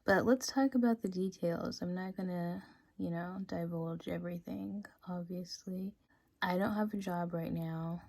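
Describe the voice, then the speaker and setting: A woman in her twenties chatting casually in a personal vlog, full of "like" and "um", sometimes dropping to a soft, hushed tone. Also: fairly low pitch, steady tone, a little slow, gravelly, dry recording. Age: 20s. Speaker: vlogger. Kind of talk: casual confessional vlog chat.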